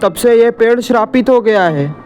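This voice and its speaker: A man's voice: very high voice, expressive, normal speed, a little mellow, soft background music, voice pushed up. A man voicing a timid, anxious village boy in a horror cartoon story, fearful and pleading. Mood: grave, scared.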